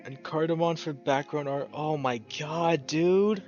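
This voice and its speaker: A man's voice: fairly high pitch, measured pace, darkish tone, dull audio. A man giving casual, emotional gameplay commentary as a let's play host, effusive and exclamatory, drawing out his words over game music.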